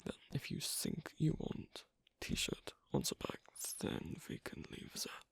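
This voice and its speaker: A performer voicing an asocial, reticent werewolf in an ASMR roleplay, speaking haltingly in a breathy, husky half-whisper. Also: huge pitch swings, no echo, heavily acted. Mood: quietly explaining. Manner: halting, hesitant, low-key. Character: asocial, reticent young werewolf on a sewing date.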